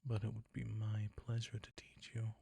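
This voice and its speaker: A man playing a suave, predatory ancient vampire count in an intimate roleplay audio drama, soft and breathy, courtly and condescending. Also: lowish voice, monotone, no echo. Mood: menacing relish. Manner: courtly, condescending, slow and savoring.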